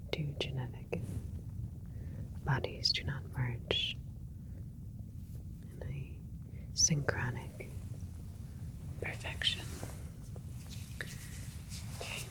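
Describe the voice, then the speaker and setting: Woman as a slow whispering alien scientist. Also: extremely low pitch, full character voice, rough recording. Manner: slow, deliberate, soothing clinical whisper. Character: gentle, admiring alien scientist doctor tending a plant-human creation. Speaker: ASMR roleplay performer. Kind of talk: whispered ASMR roleplay.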